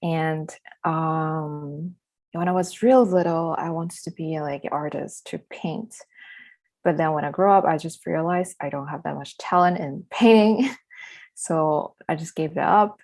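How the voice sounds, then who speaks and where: Podcast guest: young woman, low pitch, loose and chatty. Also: unhurried, darkish tone. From southern China. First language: Chinese. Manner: chatty, laughs often, loose grammar with fillers. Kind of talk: casual interview chat.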